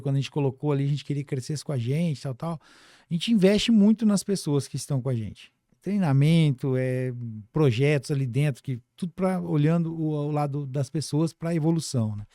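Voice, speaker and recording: Brazilian guy chatting on a podcast, mellow voice, really dry close sound, lots of tag questions. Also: fairly high pitch, no echo. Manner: colloquial, peppered with tag questions. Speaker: podcast guest, financial services business director. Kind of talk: casual podcast conversation.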